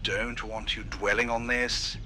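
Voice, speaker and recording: A man performs a grandiose founder placating his staff over the radio in a horror audio drama. The voice is strikingly bright and forceful, pompous and persuasive. A little static noise covers it. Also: on the low side, flat delivery, unhurried. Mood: persuasive, insistent. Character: grandiose, soothing male park founder, stubbornly optimistic. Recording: noisy radio transmission.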